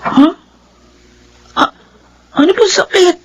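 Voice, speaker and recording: An actress playing a melodramatic young orphaned daughter, wailing with grief in a Filipino radio drama, with a Filipino accent. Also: female voice, very high voice, takes it slowly, a little hiss or hum, heavily acted. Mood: shocked, disbelieving. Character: grief-stricken young orphaned adopted daughter, angry at God.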